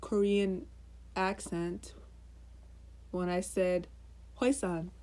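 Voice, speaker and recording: A woman co-hosting a podcast, chatting casually in an unhurried, teasing way with lots of fillers. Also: lowish voice, fairly even intonation, a little mellow. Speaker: podcast co-host. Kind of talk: casual chat.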